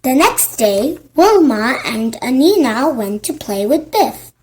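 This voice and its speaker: A woman narrating a children's storybook in a simple, deliberate read-aloud style. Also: sits high, sing-song, darkish tone, husky.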